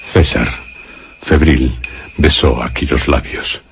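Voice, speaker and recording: A man with an accent from Spain narrating a scripted radio suspense story in a solemn, dramatic, deliberately phrased way. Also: extremely low pitch, fairly even intonation, gravelly, slight background noise.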